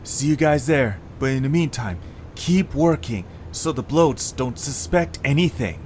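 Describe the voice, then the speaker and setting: A man's voice: medium pitch, lively intonation, measured pace, darkish tone, slight background noise, voice pushed up, close to an everyday voice. A man voicing an eager young cartoon creature with an urgent, conspiratorial manner, in an audio story. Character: eager young male cartoon creature passing on secret plans.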